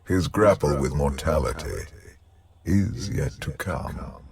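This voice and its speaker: A cold, scornful emperor spirit from a video game, with an extremely deep voice full of grinding gravel. He drawls each word very slowly. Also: expressive, darkish tone, a little hiss or hum, exaggerated voice. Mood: brooding. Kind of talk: video game cutscene acting.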